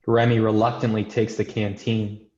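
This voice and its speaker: A man's voice: on the low side, little pitch movement, moderate pace, darkish tone, reverberant, dull audio, slight background noise. A man reading screenplay stage directions as scripted narration.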